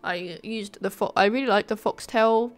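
A woman giving casual, informal commentary over a game building video, sounding nasal and a bit flat from a sore throat. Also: medium pitch, normal speed. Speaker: gaming video creator, narrator.

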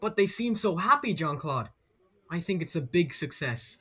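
An actor playing an earnest, polite young aspiring chef in a children's audio drama. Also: male voice, a little high, sing-song, normal speed, sharp tone, breathy edge, full character voice. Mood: earnest, anxious. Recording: faint crowd behind the voice.

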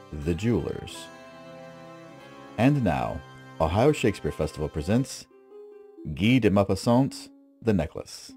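A man's voice: deep voice, mellow. A man hosting a radio play in a theatrical, old-fashioned announcer style. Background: music.

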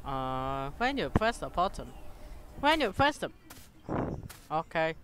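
A gaming streamer, giving exclamatory, halting English commentary and reading game text aloud. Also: mid-range voice, sing-song. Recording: clear background noise and clicks.

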